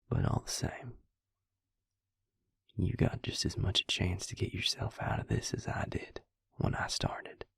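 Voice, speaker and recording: A man playing a devious, smug vampire recruiter in an intimate audio roleplay, speaking in a husky, breathy half-whisper with a slow, sinister drawl. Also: sits low, no echo, studio quality, heavily acted. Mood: detached, matter-of-fact. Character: devious male vampire recruiter, smug, coolly menacing, manipulative. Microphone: close, dry microphone.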